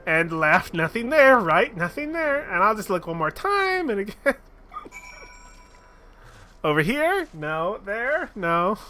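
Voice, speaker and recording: A man's voice: high voice, sing-song, takes it slowly, long pauses, bright, forceful tone. Goofy, giggly male streamer. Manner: goofy, laughing asides. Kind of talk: casual gameplay commentary.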